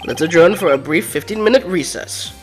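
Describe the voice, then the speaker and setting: A measured, formal older male judge speaking from the bench, as a woman reads him in a game. The voice is on the low side with plenty of pitch movement. It stays close to the reader's own voice. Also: animated, music in the background, close to an everyday voice.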